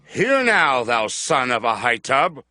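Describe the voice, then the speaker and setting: A man's voice: medium pitch, big pitch swings, slow, pushed and bright, soft background music, exaggerated voice. An actor playing a paranoid, wrathful king in a dramatized audio Bible, declaiming accusations. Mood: bitter accusing.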